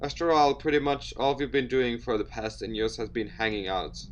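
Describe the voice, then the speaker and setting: A man's voice: mid-range voice, fairly even intonation, moderate pace, muffled recording, slight background noise. A man doing a let's play, reading a visual novel aloud in a theatrical, jokey way and interjecting his own comments. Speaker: video game let's player.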